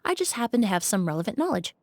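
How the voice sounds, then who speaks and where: A woman voicing a composed young woman who examines a crime scene in a measured, analytical way. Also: medium pitch, big pitch swings, darkish tone, no room sound, studio quality. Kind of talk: video game voice acting.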